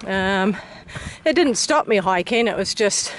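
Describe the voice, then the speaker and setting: A woman's voice: mid-range voice, expressive, measured pace. A middle-aged woman with a New Zealand accent, chatting enthusiastically to a handheld camera while hiking outdoors. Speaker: hiker vlogger. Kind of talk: casual walking vlog commentary. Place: outdoors on a desert mountain trail. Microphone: camera held at arm's length. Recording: noisy outdoor camera audio.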